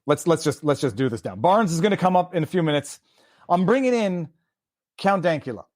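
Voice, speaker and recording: A man from Quebec hosts a livestream interview, talking in a chatty, rambling way and stacking up questions. His voice sits fairly high for a man. The sound is dry and close, with no room in it. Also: lively intonation, fairly quick, a little mellow, dry recording. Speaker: host.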